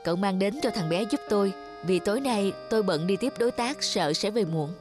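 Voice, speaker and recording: A woman's voice: mid-range voice, expressive, brisk, lightly acted. A woman voicing a busy businessman father who talks curtly and impatiently in a cartoon, over background music. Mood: brusque. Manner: curt, impatient, bossy. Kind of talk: cartoon voice acting.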